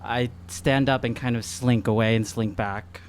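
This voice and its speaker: A man's voice: medium pitch, steady tone, moderate pace. A man playing a tabletop game, casually narrating his character's actions in the first person, with faint noise behind.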